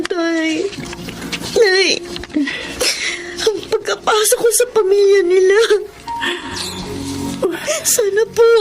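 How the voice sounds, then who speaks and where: Very high-voiced young Filipina daughter. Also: female voice. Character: emotional young Filipina daughter, student, humble and grateful. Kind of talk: Filipino radio drama acting.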